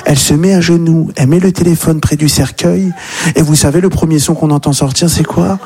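A man's voice: fairly high pitch, steady tone, fairly quick, a little mellow. A man doing stand-up, telling a comic story to an audience. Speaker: stand-up comedian. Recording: live stage recording with crowd noise. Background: audience crowd.

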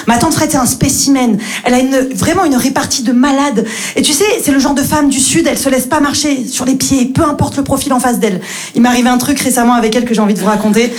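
A young woman doing stand-up, lively storytelling that acts out the dialogue. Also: sits high, quick pace. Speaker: stand-up comedian.

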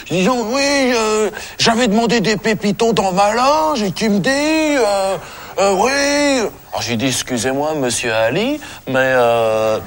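A man's voice: medium pitch, animated, normal speed, few pauses, natural voice. A man playing a simple, grumbling stagehand in a comedy sketch, rambling hesitantly in crude, colloquial speech with many repetitions. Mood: rambling complaint. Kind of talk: improvised-sounding comic character dialogue.

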